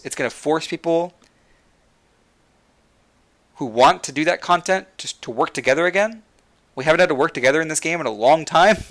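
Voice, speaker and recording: Bright-voiced young man, passionate stream host. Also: medium pitch. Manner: passionate, repeats words while thinking. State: losing his voice. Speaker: livestream host. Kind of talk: casual gaming rant to chat.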